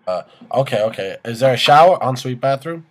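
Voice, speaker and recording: Man, 20s, brash prank caller, loose slangy chat, cheeky swagger, medium pitch. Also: lively intonation, brisk. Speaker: prank caller and video host. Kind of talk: joking casual phone chat in a put-on swaggering slangy way. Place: small room indoors.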